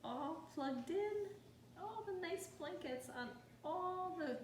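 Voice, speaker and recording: A woman reads a children's story at a slow pace with a very expressive, swooping delivery. She exclaims and stops to explain things to little listeners, in a roomy, echoing space. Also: extremely high pitch. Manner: gasps, exclaims and explains words to young listeners. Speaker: storyteller reading a picture book. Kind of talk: animated children's read-aloud with asides. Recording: roomy echo, faint background noise.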